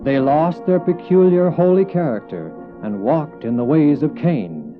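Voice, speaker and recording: A man's voice: fairly high pitch, lively intonation, unhurried. Solemn male narrator over music. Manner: solemn, formal. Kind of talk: dramatic scripted narration of a Bible story. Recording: dull old cassette, music underneath.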